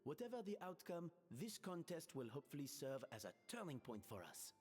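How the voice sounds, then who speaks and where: A man playing a polite, thoughtful gentleman in a video game, with a courteous, musing way of talking. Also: on the high side, big pitch swings, fairly quick. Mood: earnest, courteous. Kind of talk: English video game voice acting. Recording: long reverb, game music behind.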